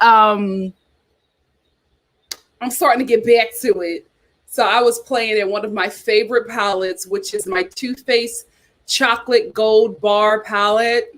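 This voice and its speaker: A woman's voice: on the high side, a bit bright. A young woman hosting a casual livestream, chatting and reading viewer comments, repeating herself as she talks. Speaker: YouTube host.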